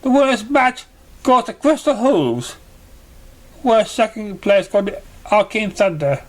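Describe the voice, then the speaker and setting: A man's voice: sits high, expressive, unhurried, voice pushed up. A man voicing a grand, congratulatory magical contest master in a storybook reading, proclaiming with theatrical praise. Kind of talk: storybook character voice in fan fiction reading.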